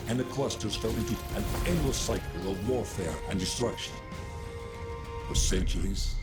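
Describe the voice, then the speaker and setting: A solemn storyteller for a science fiction game , played by a man. His voice is very low and grave, with measured, portentous delivery. Loud music runs under every phrase. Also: lightly acted. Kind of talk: scripted cinematic narration.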